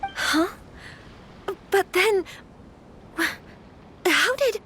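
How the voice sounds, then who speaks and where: A woman playing a young noblewoman in a video game, speaking in a polite, gentle, earnest and slightly hesitant way. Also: extremely high pitch, big pitch swings, drawn-out words, a little hiss or hum, heavily acted. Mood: distressed. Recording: music under the voice.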